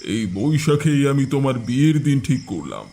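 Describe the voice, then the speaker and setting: A man's voice: mid-range voice, sing-song, moderate pace, dark tone, a little hiss or hum. An actor playing a stubborn, orthodox father who scolds his son and gloats over his own arguments. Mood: scolding. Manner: scolding, self-satisfied.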